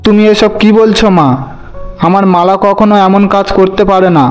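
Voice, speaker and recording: A man voicing a devoted young husband and dutiful son in a cartoon, earnest and gentle. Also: sits high, level tone, moderate pace, clear, resonant tone, highs cut off, natural voice. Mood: disbelieving. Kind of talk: Bengali moral-story cartoon voice acting. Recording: music bed under the voice.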